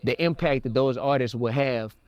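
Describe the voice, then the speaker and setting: A man's voice: medium pitch, normal speed, no room sound, highs cut off. An American man talking in an interview, opinionated and emphatic, repeating words for stress. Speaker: rapper being interviewed. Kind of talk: interview, opinionated conversational talk.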